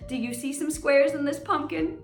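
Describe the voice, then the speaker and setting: A woman's voice: high voice, steady tone. A woman hosting a children's lesson and read-aloud, speaking in an exaggerated child-directed way and putting questions to her listeners. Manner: exaggerated child-directed delivery, asking questions and pausing for answers. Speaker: children's teacher and host. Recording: roomy echo with plucked guitar music. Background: plucked guitar music.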